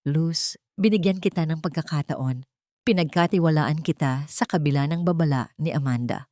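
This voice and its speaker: A woman's voice: deep voice, moderate pace, a little mellow, no room sound, natural voice. Woman performing a rich man, audio drama, cold, angry, stern, menacing, dry sound. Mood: cold betrayal.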